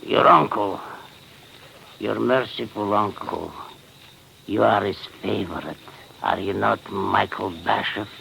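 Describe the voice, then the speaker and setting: An actor playing an embittered old prisoner and guide in 1940s radio drama, speaking tersely and haltingly in a gravelly, creaky voice. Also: male voice, on the low side, sharp tone, slight background noise, full character voice, low-quality recording. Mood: shocked, intense. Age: older.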